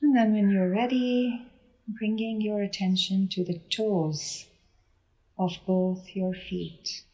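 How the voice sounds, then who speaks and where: A woman leading a guided meditation in a gentle, unhurried manner with deliberate pauses between phrases. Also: mid-range voice, steady tone, rough recording. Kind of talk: guided body scan meditation.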